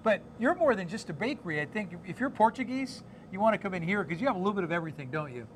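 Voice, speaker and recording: A man's voice: sits high, expressive, very fast, slight background noise. Effusive man hosting a food show. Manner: effusive, gushing over food.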